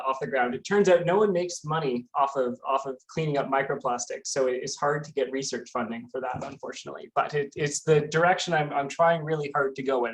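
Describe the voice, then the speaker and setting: A man's voice: on the high side, rough recording. A Canadian man giving a casual online talk as a guest scientist, often restarting and repeating words. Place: home near a busy street. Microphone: computer mic on a video call.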